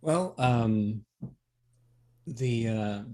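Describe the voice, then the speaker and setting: A man in a casual interview as a voice actor guest, talking in a halting, wry, joking way. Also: medium pitch, expressive, a little mellow.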